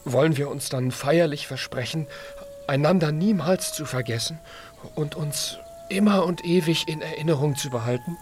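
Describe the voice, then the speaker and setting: A man's voice: on the high side, normal speed, a little mellow, soft background music, natural voice. A man playing a gloomy, anxious little piglet in a children's radio play, speaking in a hesitant, earnest, childlike way. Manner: hesitant, downcast questioning.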